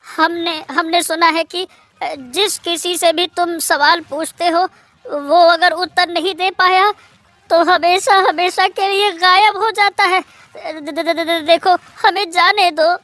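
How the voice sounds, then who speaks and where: Kids' cartoon villager, male, nervous and stammering, high and dry-sounding, played by a woman. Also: steady tone, slow, a bit bright, no echo, heavily acted. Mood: pleading, scared. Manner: panicky stammering. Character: frightened, stammering village man named Hariya. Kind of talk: Hindi kids' cartoon story acting.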